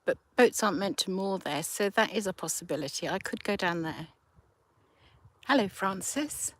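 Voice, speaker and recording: A woman's voice: medium pitch, expressive. A woman, hosting a casual livestream outdoors by a river and chatting with viewers through a handheld phone. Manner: slow, chatty, thinking aloud. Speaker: livestream host. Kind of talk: casual chat with live viewers.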